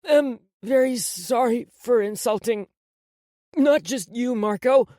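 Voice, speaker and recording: A woman narrator puts on a frightened boy of twelve in an audiobook story. The voice sits high and sounds cornered and defensive, with a close, dry sound. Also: slow, darkish tone, dry recording. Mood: tearful, reluctant. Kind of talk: audiobook character voice.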